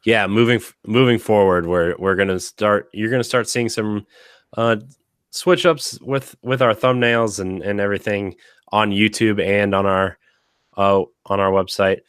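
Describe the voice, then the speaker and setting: Deep-voiced man hosting a podcast, slightly raspy. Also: fairly even intonation, measured pace, fairly bright tone, dry recording, studio quality. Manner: rambling, many fillers and restarts. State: slightly raspy from being sick. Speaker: podcast host. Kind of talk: casual chat.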